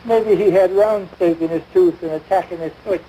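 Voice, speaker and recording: A man's voice: sits high, fairly even intonation, moderate pace, warm tone, lightly acted. An actor playing a pompous, long-suffering American husband and father in a domestic comedy, speaking formally with dry sarcasm. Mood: dryly pompous. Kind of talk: domestic radio-style situation comedy. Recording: noisy vintage broadcast recording.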